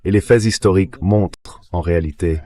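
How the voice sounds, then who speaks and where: A man's voice: low-pitched, a little fast, warm tone. A man's synthetic voice reading a translated voice-over as a guest analyst discussing politics.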